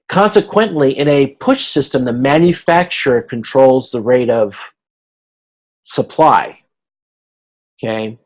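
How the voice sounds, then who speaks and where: A male instructor gives an informal lecture at a middling pitch. He explains things step by step, with halting phrasing, and often slips into quoting imagined exchanges to illustrate a point. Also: low-quality recording. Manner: explanatory, halting, checks understanding, acts out hypothetical dialogue. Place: video conference class.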